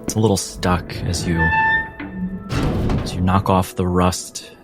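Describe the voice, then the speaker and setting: A low-voiced man runs a tabletop game as its narrator. He speaks slowly in the second person, measured and suspenseful, leaving long pauses, with music clearly playing behind him. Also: completely flat delivery. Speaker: game master. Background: background music.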